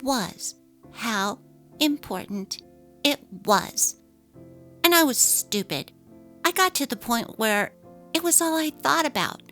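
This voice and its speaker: A woman's voice: high-pitched, animated, slow pace, long pauses, fairly bright tone, voice pushed up, full character voice. A woman voicing an earnest, resolute young wife and mother in a dramatically read story, with emphatic, heartfelt delivery. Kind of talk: dramatic reading of a text-message story. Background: music.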